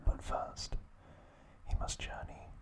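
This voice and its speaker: A man speaking in a breathy, hushed ASMR whisper, in short halting phrases as he hosts a relaxed video.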